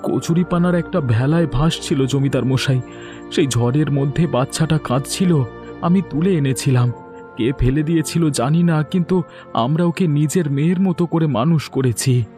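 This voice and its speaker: A man acts as a poor village father in a cartoon moral tale. His voice sits a little high, respectful and pleading toward his betters. Music is clearly heard behind him. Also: moderate pace, mellow, lightly acted. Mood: confessing. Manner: meek, pleading. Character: humble, deferential poor village fisherman and adoptive father. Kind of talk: dramatic cartoon story acting.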